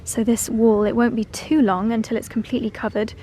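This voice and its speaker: A woman's voice: fairly high pitch, flat delivery, brisk, mellow, slight background noise. A woman vlogging casually, effusive and gushing about home and garden. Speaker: lifestyle vlogger. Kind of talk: casual vlog.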